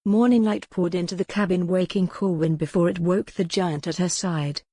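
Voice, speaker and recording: A woman's voice: lowish voice, fairly even intonation, normal speed, dark tone, no room sound, studio quality. A woman reading scripted historical romance fiction as an audiobook narrator.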